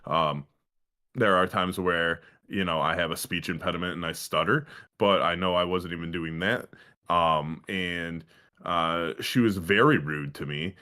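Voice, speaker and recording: A man co-hosting a podcast, telling comic anecdotes in a halting, slangy way with heavy swearing. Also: low voice, pushed and bright. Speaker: podcast co-host. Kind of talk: casual comic anecdote chat.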